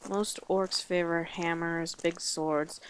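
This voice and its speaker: A woman's voice: on the low side, flat delivery, slow pace, slight background noise, low-quality recording. A woman playing a brash, teasing female orc guide in a fantasy audio roleplay, with a blunt, sardonic manner. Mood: frank, reflective.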